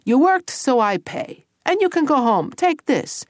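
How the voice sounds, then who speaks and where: Woman acting a male ogre uncle, gruff, theatrical, storybook, dry sound. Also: high-pitched, sing-song, a little slow, dry recording, voice pushed up. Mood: grandly instructing. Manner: theatrical, instructing, peppered with Italian words. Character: gruff, shrewd male Italian ogre uncle, generous boss.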